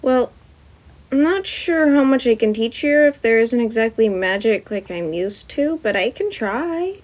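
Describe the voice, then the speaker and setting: A woman's voice: on the high side, expressive, measured pace, darkish tone. A woman voicing an earnest young female principal who talks hesitantly. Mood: unsure. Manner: hesitant, stumbling.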